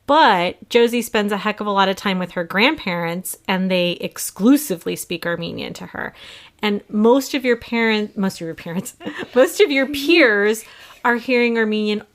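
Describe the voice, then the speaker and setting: Gentle questioning mother, podcast host. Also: mid-range voice, expressive, normal speed. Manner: gentle, apologetic, coaxing questions. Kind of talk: candid conversation with her daughter.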